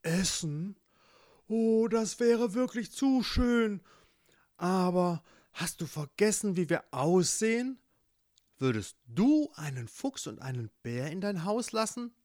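A man voicing a hungry, freezing, gentle male bear in a spoken fairy tale, polite and pleading. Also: high voice, expressive, takes it slowly, no room sound, clean studio sound, pitched above the performer's own voice. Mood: wistful.

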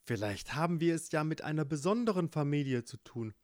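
A man's voice: on the high side, expressive, brisk, darkish tone, dry recording, studio quality, pitched above the performer's own voice, natural voice. A man doing a clever, persuasive fox. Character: clever, curious, reassuring male fox.

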